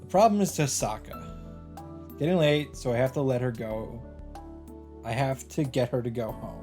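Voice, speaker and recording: A man's voice: medium pitch, normal speed, warm tone. A man hosting a let's play, reading visual novel narration aloud. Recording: game music faintly behind. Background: game music.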